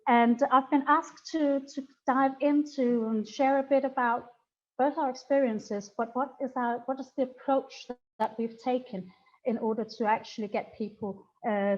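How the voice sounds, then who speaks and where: A woman's voice: fairly high pitch, steady tone, moderate pace, darkish tone. A woman with a Danish accent giving a friendly, chatty online presentation with frequent fillers. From Denmark. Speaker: presenter for a youth homelessness alliance. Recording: muffled online call audio.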